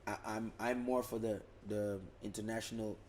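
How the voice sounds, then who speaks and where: A man's voice: on the low side, normal speed, a little hiss or hum. A young man from the Netherlands, a music artist chatting casually in a podcast interview, often repeating and restarting his words. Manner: relaxed, repeats words and restarts, many fillers.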